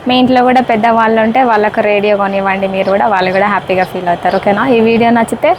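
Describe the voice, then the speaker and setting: A woman with a Telangana Telugu accent presents a product in a casual unboxing style. Her voice sits at a medium pitch and she keeps checking in with her listeners as friends. Faint ambient noise runs behind her. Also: fairly even intonation, rapid-fire, highs cut off. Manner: repeatedly checks in with listeners, addressing them as friends.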